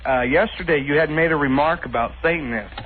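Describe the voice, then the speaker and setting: A man's voice: fairly high pitch, a little fast, slight background noise. A man calling in to a radio show, debating casually and earnestly contradicting the host. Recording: tinny phone line, faint music behind.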